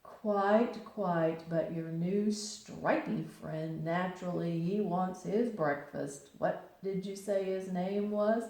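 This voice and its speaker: Brief: woman voicing a glum, slow old storybook donkey, echoey room. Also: on the low side, warm tone. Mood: gloomy. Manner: slow, drawn-out, mournful. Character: gloomy old male donkey, slow and resigned.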